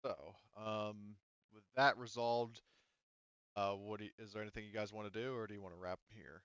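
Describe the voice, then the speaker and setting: A man's voice: fairly low pitch, fairly even intonation, moderate pace, a bit bright, smooth, dry recording, lo-fi sound. A man acting as game master, narrating a tabletop roleplaying game in a casual, conversational style.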